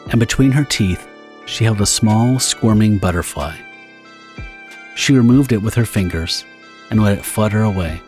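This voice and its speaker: A man reading scripted, dramatic story narration for an audio drama in a husky voice. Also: on the low side.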